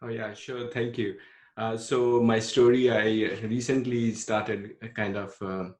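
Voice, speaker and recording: A man in his thirties with an Indian accent shares his story in a low, level voice. He talks earnestly and reflectively, pausing on fillers and restarting his sentences. The room around him has a noticeable echo. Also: flat delivery. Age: 30s. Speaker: podcast guest. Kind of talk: casual interview storytelling.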